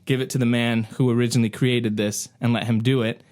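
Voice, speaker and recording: A man's voice: mid-range voice, little pitch movement, fast, darkish tone. A man co-hosting a casual podcast, arguing his point insistently with the earnestness of a fan. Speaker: podcast co-host.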